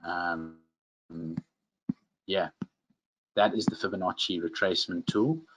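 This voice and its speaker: Low-voiced South African man presenting. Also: fairly bright tone, a little hiss or hum, rough recording. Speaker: webinar presenter, trading instructor. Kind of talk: informal live tutorial.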